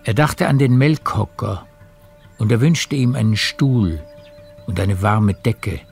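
A male narrator reads literary prose for a radio drama in a low, gravelly voice. His delivery is measured, and faint music plays behind him. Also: darkish tone. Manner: measured storytelling.

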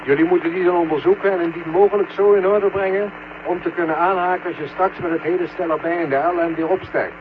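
Casting: matter-of-fact mission control officer, 1970 radio drama, thin phone-like transmission with clear static. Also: male voice, high voice, fairly even intonation, quick pace. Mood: calm, matter-of-fact. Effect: radio link filter. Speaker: actor in a radio play. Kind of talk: 1970 Dutch science fiction radio drama acting.

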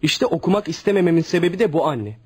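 A man's voice: a little high, expressive, quick pace, a little mellow, husky. An actor playing a troubled, protective sixteen-year-old son in a radio drama, earnest and downcast as he protests. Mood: protesting, earnest. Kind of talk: scripted radio drama acting.

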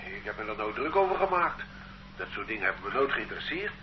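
A man's voice: on the low side, sing-song, fast. An everyday working man being questioned, bewildered and plain; old, muffled radio recording. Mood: defensive. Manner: bewildered, defensive, plain-spoken. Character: ordinary working-class man under arrest. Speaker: suspect. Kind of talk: radio drama acting. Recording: dull lo-fi old radio recording with faint noise.

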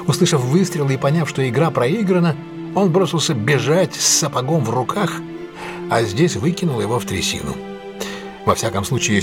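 A man's voice: medium pitch, natural voice. An actor playing a shrewd male detective who lays out his deductions emphatically and theatrically in an audio drama. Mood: keen, triumphant. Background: prominent music.

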